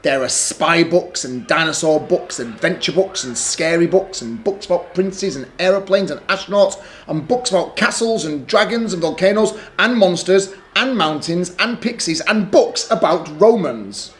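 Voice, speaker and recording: A man's voice: high voice, moderate pace, hardly any pauses. A man doing a patient, sensible big brother. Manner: calm, reasoning, gently shushing. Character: patient older brother, young boy, sensible.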